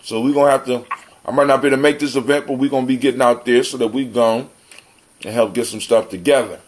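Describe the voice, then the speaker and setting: A man's voice: medium pitch, steady tone, quick pace. An American man hosting a live community talk show, chatting casually and in a folksy way to his listeners like family. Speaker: host of a live call-in show.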